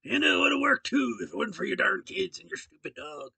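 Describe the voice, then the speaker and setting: A person putting on the voice of an unmasked cartoon villain, an older man griping loudly in a high, drawn-out voice. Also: very animated, creaky, slightly rough, dry recording, exaggerated voice. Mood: indignant.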